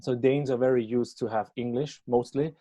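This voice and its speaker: A Swiss German man hosts a podcast in loose, conversational English with a Swiss German accent. He talks in a halting, rambling way at a medium pitch. The sound is dry, with no room echo. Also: steady tone, warm tone. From Switzerland. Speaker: podcast host. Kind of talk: casual interview chat.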